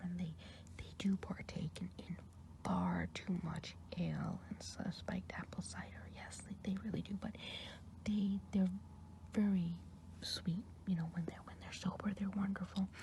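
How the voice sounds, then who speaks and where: A woman whispering softly as a kindly, chatty forest witch healer in an ASMR roleplay, rambling gently and gossiping. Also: fairly low pitch, natural voice. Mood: fond. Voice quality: soft whispering. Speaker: ASMR artist.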